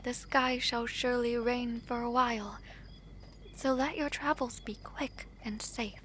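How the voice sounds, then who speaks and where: Woman acting a high-voiced, gentle young princess. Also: steady tone, unhurried, a little hiss or hum. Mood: wistful, thoughtful. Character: gentle, well-mannered foreign princess, young and wistful. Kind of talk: fantasy audio drama acting.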